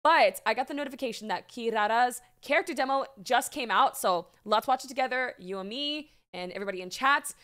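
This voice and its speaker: A woman's voice: on the high side, a bit bright. A young woman streamer giving casual, chatty, gushing reaction commentary into a desk microphone at home. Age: young adult. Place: small home streaming room, indoors. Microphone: desk microphone on a boom arm.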